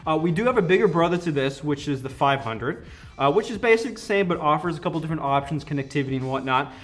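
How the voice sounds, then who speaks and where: Higher-voiced man giving an enthusiastic product pitch. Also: expressive, quick pace. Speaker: company product representative. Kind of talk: conversational product demo interview. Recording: music playing behind the voice.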